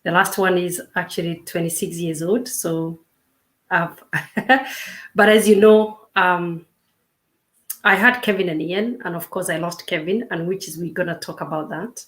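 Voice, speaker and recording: A woman in her fifties, a coach, speaking as an interview guest in a measured, reflective way with frequent pauses and restarts. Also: fairly low pitch, fairly even intonation, fairly bright tone. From Kenya. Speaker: guest, self-discovery coach. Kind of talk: casual interview chat.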